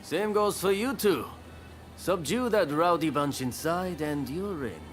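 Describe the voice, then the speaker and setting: Slow, swooping, harried samurai official. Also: male voice, fairly high pitch, lively intonation, soft background music. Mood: relieved. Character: genial, harried male samurai recruitment overseer. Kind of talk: scripted game cutscene acting.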